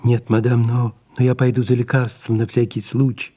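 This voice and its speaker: A man's voice: mid-range voice, flat delivery, moderate pace, warm tone, natural voice. A man playing a devoted, worried secretary to an elderly actress in an audio drama. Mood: anxious, apologetic. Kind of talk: audio play acting with read stage directions.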